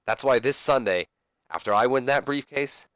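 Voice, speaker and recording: A man's voice: mid-range voice, moderate pace, a bit bright, dry recording, natural voice. A man playing a confident, self-satisfied wrestling champion, boastful and earnest as in a promo.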